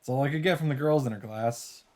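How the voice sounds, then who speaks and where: A man's voice: mid-range voice, fast, darkish tone. A man hosting a let's play, reading visual novel narration aloud and adding dramatic asides as he reacts.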